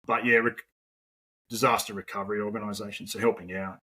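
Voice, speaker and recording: A man's voice: fairly low pitch, level tone, fairly quick, bright, forceful tone, breathy edge. An Australian man in his forties, a podcast guest talking casually, rambling with lots of fillers and the odd swear word. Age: 40s. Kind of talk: casual conversational interview.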